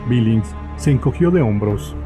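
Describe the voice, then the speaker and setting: Male narrator, mid-pitched, scripted horror storytelling, clear music bed behind. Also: animated, moderate pace.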